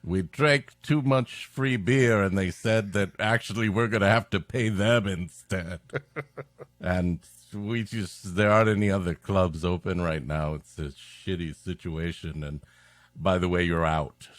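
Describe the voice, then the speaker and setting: Wry, low-voiced male comedy co-host. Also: fairly even intonation, measured pace, no room sound. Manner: wry, riffing with mock-serious asides. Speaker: podcast co-host. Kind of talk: casual comic banter.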